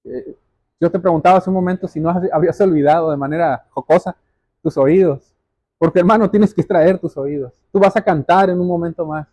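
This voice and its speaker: A man's voice: on the high side, fairly quick, a little mellow, dry recording. A man preaching a sermon as a pastor, speaking earnestly and directly to his listeners and repeating phrases for emphasis. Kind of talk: sermon, exhortation.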